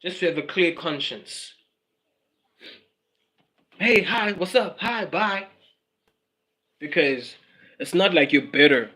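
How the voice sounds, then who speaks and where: A man's voice: on the high side, lively intonation, takes it slowly, pauses often, pushed and bright. A South African man from South Africa hosting a casual livestream, musing aloud, repeating his phrases and dropping in Zulu words. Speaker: livestream host.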